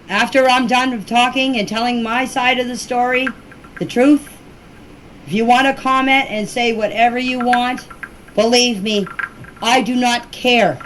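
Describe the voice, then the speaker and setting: A woman with a high-pitched voice gives her own side of things in an informal voice clip. She is defensive and insistent, stressing her points firmly. There is faint background noise on the amateur recording. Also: steady tone, measured pace, long pauses, fairly bright tone, poor audio. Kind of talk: personal account answering questions in a voice message.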